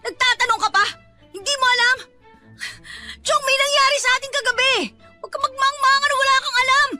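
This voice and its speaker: Very high, tough Filipina tomboy. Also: female voice, steady tone, brisk, pushed and bright, soft background music, full character voice. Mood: outraged. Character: tough, proud young tomboy woman, one of the guys. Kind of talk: Filipino radio drama acting.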